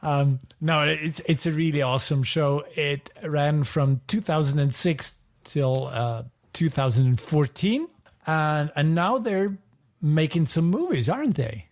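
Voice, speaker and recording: A man's voice: fairly high pitch, lively intonation, unhurried. A middle-aged man co-hosting a podcast in an enthusiastic, easily amused, casual chatting style. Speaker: podcast co-host. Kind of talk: casual chat about TV shows.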